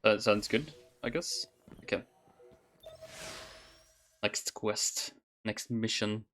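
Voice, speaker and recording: A man's voice: fairly low pitch, slow pace, a bit bright, a little hiss or hum. A man giving casual livestream commentary as he plays a video game. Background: faint game music.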